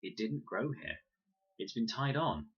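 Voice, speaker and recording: A man's voice: medium pitch, sing-song, unhurried, vocal fry, slightly rough, lo-fi sound, pitched above the performer's own voice, exaggerated voice. A man voicing an earnest, curious boy explorer in a storybook, explaining things eagerly. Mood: hushed realisation.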